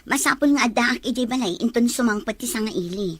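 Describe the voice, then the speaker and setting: A woman's voice: fairly high pitch, fast, fairly bright tone, slightly rough, dry recording. A woman playing a sweet young girlfriend in a romantic melodrama. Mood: sweet, casual. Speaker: actor. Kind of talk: melodrama dialogue.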